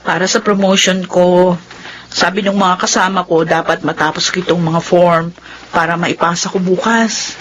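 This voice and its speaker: A woman's voice: on the low side, fairly even intonation, moderate pace, a little hiss or hum, lightly acted, poor audio. An actress playing a hardworking, devoted middle-aged mother in a radio drama, earnest and fussing. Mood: earnest, tired.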